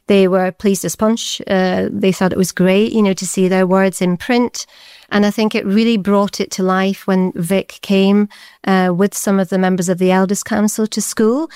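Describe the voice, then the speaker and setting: A woman's voice: medium pitch, darkish tone, smooth, dry recording, studio quality. A Scottish woman in her forties, a deputy head teacher, answering interview questions in a chatty way with many fillers. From Scotland.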